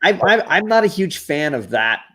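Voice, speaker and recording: A man's voice: fairly high pitch, sing-song, moderate pace, bright, forceful tone. A man in a casual pop-culture discussion, opinionated and nitpicking, repeating words for emphasis as a panelist. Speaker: panelist in a live comics chat.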